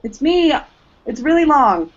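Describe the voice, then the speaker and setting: A woman's voice: high-pitched, very animated, measured pace. A woman co-hosting a podcast in informal, self-deprecating casual chat. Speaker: podcast co-host. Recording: muffled lo-fi podcast recording.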